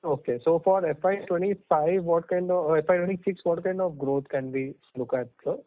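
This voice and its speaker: Male investor caller, fairly high pitch, deferential questioning, thin phone audio. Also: brisk. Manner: deferential, often saying sir. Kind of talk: earnings call questioning. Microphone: telephone conference line. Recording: narrow tinny phone line.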